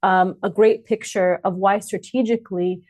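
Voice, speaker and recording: A Palestinian American woman hosting an interview podcast, talking conversationally and often pausing with filler sounds. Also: medium pitch, steady tone, a little mellow. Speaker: podcast host.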